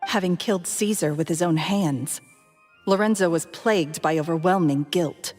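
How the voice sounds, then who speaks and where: A woman playing a smooth, calculating young suspect. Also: on the low side, moderate pace, a little mellow, lightly acted. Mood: coolly mocking. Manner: smooth, politely mocking. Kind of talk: video game voice acting. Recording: game audio with reverb and music.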